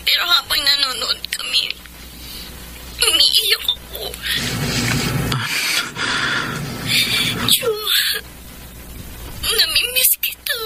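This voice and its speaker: A woman's voice: very high-pitched, sing-song, slow, long pauses, noisy background, full character voice. A woman acting a sad, regretful young woman in a Tagalog radio romance drama, plaintive and hesitant. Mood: tearful. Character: sad, regretful young woman missing her former boyfriend.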